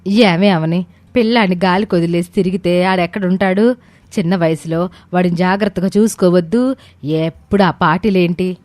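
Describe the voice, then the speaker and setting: A woman's voice: fairly low pitch, steady tone, moderate pace, a little mellow, dry recording, natural voice. A woman voicing an indignant old village mother-in-law in a family story, chiding in a blunt, rustic way. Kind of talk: dramatized Telugu family story acting.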